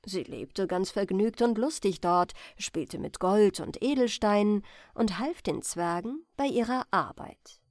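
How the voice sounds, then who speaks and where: A woman's voice: medium pitch, unhurried, few pauses, darkish tone, dry recording, clean studio sound. A woman reading a bedtime fairy tale as a scripted narration.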